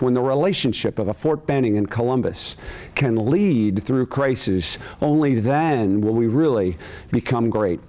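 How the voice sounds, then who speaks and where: A man in his fifties, an army general, delivering a formal acceptance speech to camera in an earnest, deliberate manner with emphatic repetition. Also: mid-range voice, a little mellow. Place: furnished room indoors, seated in an armchair.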